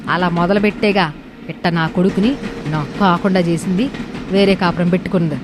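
A woman's voice: on the low side, steady tone, fairly quick, noisy background, natural voice. Woman playing a bitter, sarcastic mother-in-law. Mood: bitter, stubborn. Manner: complaining, sarcastic. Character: bitter, sarcastic middle-aged mother-in-law, stubborn and grumbling. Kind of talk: animated moral story voice acting.

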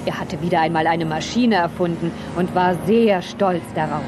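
A female narrator tells a children's story from a script. Her voice sits a little low for a woman, and music plays clearly behind her. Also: moderate pace.

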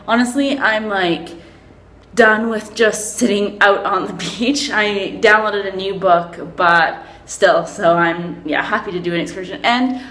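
A woman's voice: medium pitch, a bit bright. A young woman from Canada vlogging on holiday, talking casually and rambling with many fillers, recorded in a hotel room on a handheld camera. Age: young adult. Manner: rambling, upbeat, lots of "like" and "um". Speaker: travel vlogger. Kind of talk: casual chatty vlog. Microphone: phone camera held at arm's length.

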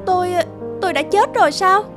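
Cartoon drama role: anguished woman, high voice, emotional, pleading delivery, music underneath. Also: fairly quick. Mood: desperate pleading. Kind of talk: animated drama dub.

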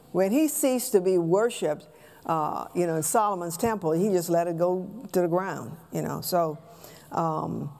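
An American woman in her sixties teaching scripture from a pulpit in a halting, conversational way with rhetorical questions. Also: lowish voice, warm tone. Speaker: Bible class teacher, preacher. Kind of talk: teaching sermon, explaining scripture. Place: church sanctuary stage, at a pulpit.

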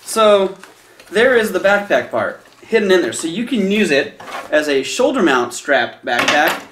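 Upbeat guy in his 30s showing off a bag, slightly high voice, roomy echo, faint hiss. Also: sing-song, measured pace, fairly bright tone. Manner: enthusiastic, chatty, addresses viewers as guys. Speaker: product reviewer. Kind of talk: casual unboxing review. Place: small indoor room with a decorated Halloween backdrop.

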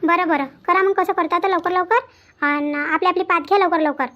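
Woman acting very high-pitched bossy Vidarbha village auntie. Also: fairly even intonation, brisk, pushed and bright, muffled recording. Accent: Vidarbha Marathi. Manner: nagging, commanding, repeats orders. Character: bossy scolding middle-aged village auntie. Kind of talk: comedy cartoon dialogue.

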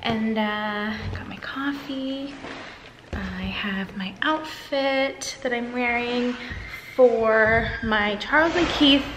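A young woman talks brightly to a camera held at arm's length, rambling in an upbeat, chatty way with plenty of "um" and "like". Her voice sits a little high. A loud background hum runs under her. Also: slow. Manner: chatty, filler-heavy, upbeat. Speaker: lifestyle vlogger. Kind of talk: casual vlog chat to camera. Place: home and city street, indoors and out. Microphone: handheld camera at arm's length.

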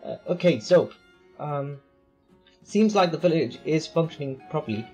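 A man's voice: a little high, expressive, moderate pace, a little mellow, breathy edge, faint music behind. A man with a tired, failing voice gives casual, rambling commentary on his city-building game, full of fillers and questions to himself. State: voice going hoarse. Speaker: let's play gamer.

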